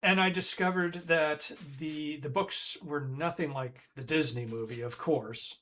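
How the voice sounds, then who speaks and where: A man's voice: on the high side, steady tone, a little slow, sharp tone. A man, hosting a retro game stream in a rambling, chatty way that keeps correcting itself. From Northern Ireland. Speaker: streamer host.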